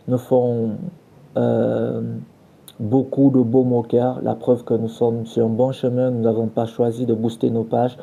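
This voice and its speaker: A man hosting a culture podcast, speaking in a halting, semi-scripted radio style with searching pauses. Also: mid-range voice, vocal fry, warm tone. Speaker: podcast host, culture journalist.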